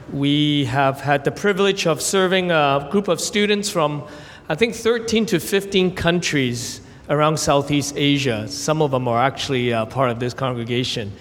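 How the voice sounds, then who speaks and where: A young adult man raised in Canada, giving a sermon as guest preacher, earnest and gentle, telling stories with brief pauses. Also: on the high side, big-room sound. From Hong Kong, raised in Canada. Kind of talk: sermon with prayer and illustrations.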